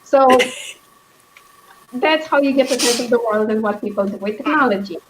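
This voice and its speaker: A woman with a fairly high voice hosts a casual book chat. She feels her way through her thoughts aloud, hesitating as she goes. Noticeable background noise sits behind her voice. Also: moderate pace. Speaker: book club host.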